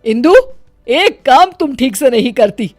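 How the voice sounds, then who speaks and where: A woman puts on a bossy middle-aged mother-in-law for a family moral tale. Her voice is high and loud, with sharp, commanding delivery. She speaks at a slow pace. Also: lively intonation, no room sound, soft background music. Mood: scolding, angry. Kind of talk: acted Hindi moral storybook dialogue.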